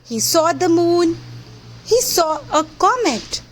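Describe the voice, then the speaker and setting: A woman reading a picture book aloud to young children, with simple deliberate phrasing and questions to the listeners. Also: very high-pitched, big pitch swings, slight background noise. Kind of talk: children's read-aloud storytelling.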